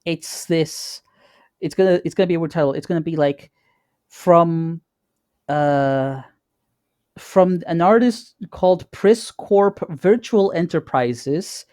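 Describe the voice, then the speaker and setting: A man co-hosting a podcast, chatting informally and haltingly, often repeating words as he goes. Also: on the high side, dark tone, no echo, studio quality. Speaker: podcast co-host. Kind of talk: casual chat.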